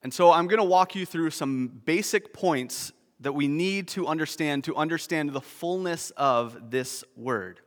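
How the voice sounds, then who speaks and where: A man's voice: on the high side, a little slow, few pauses, long reverb tail. A man preaching a sermon, explaining a word's meaning with nerdy, self-deprecating asides. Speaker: pastor.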